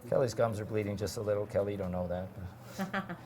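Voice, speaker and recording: A man working as a dentist who calmly explains each step of a procedure to his patient and the viewers as he works. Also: fairly low pitch, fast talker, background noise. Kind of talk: narrating a dental procedure.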